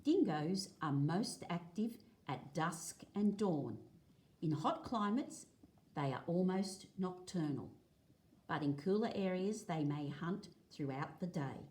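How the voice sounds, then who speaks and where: Woman, teacher read-aloud, very unhurried, soft-edged mellow tone, measured explanations. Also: lowish voice, animated. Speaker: teacher reading aloud to pupils. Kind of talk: read-aloud lesson of a non-fiction picture book. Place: indoors at a table, filming a book.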